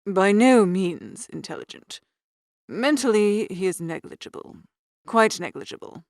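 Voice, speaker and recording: A man's voice: high voice, expressive, unhurried, gravelly, no echo, clean studio sound, voice pushed up, exaggerated voice. A man voicing a dignified English valet in a storybook, formal, precise and politely scathing. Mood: coolly dismissive.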